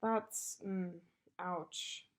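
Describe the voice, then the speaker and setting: A woman's voice: lowish voice, fairly even intonation, extremely slow. Stuffy-nosed young woman co-hosting a chat, blunt, swears a lot, teases her friend. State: congested. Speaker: podcast co-host. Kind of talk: casual chat.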